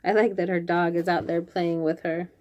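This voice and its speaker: A woman reading picture books aloud to young children, expressively, with drawn-out phrases and chatty asides. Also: on the low side, flat delivery, darkish tone, lo-fi sound. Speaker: children's read-aloud host.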